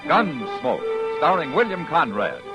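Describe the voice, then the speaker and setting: Dramatic American announcer, animated, over music. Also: male voice, fairly high pitch, takes it slowly. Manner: declamatory, portentous. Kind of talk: dramatic 1950s radio announcing.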